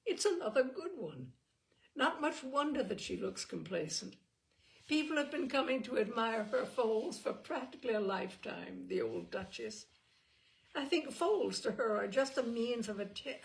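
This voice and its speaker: Casting: opinionated young horsewoman for an audiobook played by a female narrator, chatty and wry, affectionately teasing, with a creaky edge. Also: on the high side, animated, moderate pace, voice pushed up. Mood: admiring, wry. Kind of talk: audiobook character dialogue.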